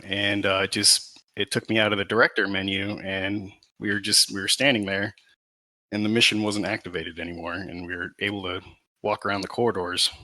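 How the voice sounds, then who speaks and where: A man's voice: lowish voice, pushed and bright, dry recording. A man of about thirty, a podcast guest, talking casually in a modest, hesitant way. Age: 30s. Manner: modest, hesitant, many restarts. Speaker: guest gamer. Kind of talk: casual chat.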